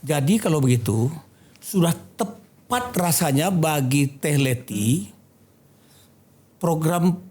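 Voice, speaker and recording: A man's voice: fairly high pitch, expressive, takes it slowly, long pauses, darkish tone, reverberant. A man hosting a talk show interview, teasing his guests and then making a formal appeal.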